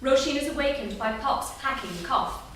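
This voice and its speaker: A woman's voice: high voice, animated, normal speed. A woman narrator reading scripted stage directions at a staged play reading. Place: small theatre, staged reading. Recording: echoey theatre, distant mic, clear noise.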